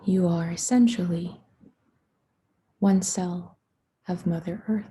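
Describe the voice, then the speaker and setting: A woman guides a meditation in a very breathy, hushed voice with a deeply mellow, dark tone. She speaks slowly and soothingly, leaving long pauses between short phrases. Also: steady tone. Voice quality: soft, breathy.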